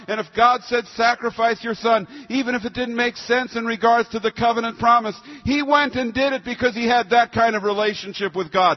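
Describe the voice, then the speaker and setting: A man preaching a sermon with scripture readings, speaking in an emphatic, expository and rhetorical manner. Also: very high-pitched. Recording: muffled sound in a long-reverb hall.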